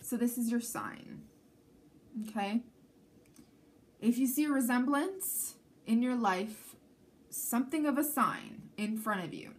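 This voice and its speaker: A woman's voice: on the high side, slow, long pauses. A woman from Canada whose first language is Armenian, giving a chatty tarot reading to camera and often checking in with the viewer. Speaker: tarot reader.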